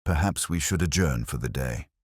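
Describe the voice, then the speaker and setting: A man's voice: a bass voice, lively intonation, normal speed, breathy edge, dry recording, studio quality, exaggerated voice. A man voicing a prim, self-important committee woman in a mystery story, with a pompous, formal and stiffly dignified manner. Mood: stiffly conceding.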